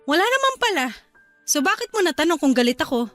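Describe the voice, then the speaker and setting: A woman's voice: very high voice, big pitch swings, quick pace, a bit bright, faint music behind, exaggerated voice. A woman playing a resentful, sulky young wife and mother in a Filipino radio drama, speaking tersely and pleadingly with a Filipino accent. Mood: curt, withholding.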